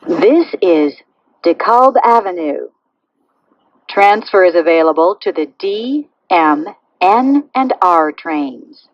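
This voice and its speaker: A woman making pre-recorded subway train announcements in a measured, formulaic way. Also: fairly low pitch, lively intonation, no room sound, highs cut off. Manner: measured, clearly phrased, formulaic delivery.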